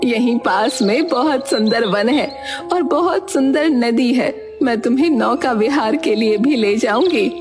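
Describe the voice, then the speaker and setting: Woman voicing a high-pitched scheming hunchbacked aunt. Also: a little slow, music in the background, close to an everyday voice. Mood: coaxing. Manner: sweet-talking, cunning.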